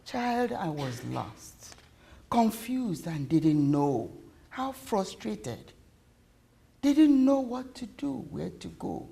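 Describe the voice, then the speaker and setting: A woman voicing a loving, weary, emotional mother in a story, with pleading, earnest, drawn-out words. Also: medium pitch, sing-song, vocal fry, darkish tone. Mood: tearful, pleading.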